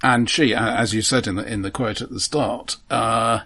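A man co-hosting a film podcast, casually explaining things in a halting, conversational way. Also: mid-range voice, fairly even intonation, bright, forceful tone, slightly rough.

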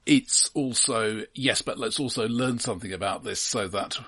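A man with a husky, fairly low voice talks as a co-host in a casual film critique. His delivery is considered and analytical, with halting phrasing. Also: fairly bright tone.

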